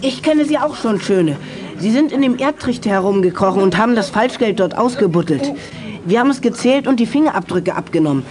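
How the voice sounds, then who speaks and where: Animated brash boy detective played by a woman. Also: a little high, brisk, natural voice. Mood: brash, accusing. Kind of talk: 1980s German children's audio drama acting.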